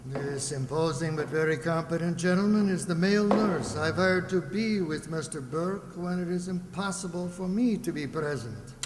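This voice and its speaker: A man playing a formal, condescending psychiatrist who lectures in measured phrases in an old-time radio drama. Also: fairly high pitch, natural voice. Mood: polite. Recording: echoey hall, background noise.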